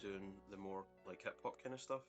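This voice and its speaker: A man's voice: low-pitched, brisk. A man chatting casually and informally as a guest music producer on a livestream call. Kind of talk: casual interview chat.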